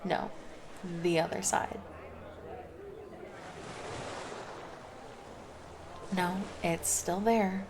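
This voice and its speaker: Slow-talking, creaky, caring lifeguard woman, acted out by a woman. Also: on the low side, fairly even intonation. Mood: teasing, friendly. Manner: soft, intimate, casual, reassuring. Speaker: roleplay voice performer. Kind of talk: roleplay ASMR acting. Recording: background noise under the voice.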